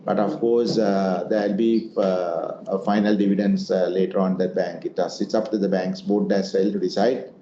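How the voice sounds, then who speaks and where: A man's voice: deep voice, little pitch movement, normal speed, few pauses, mellow. A man answering investor questions as an executive leading an earnings call, in a semi-formal way. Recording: muffled, echoey room with noise.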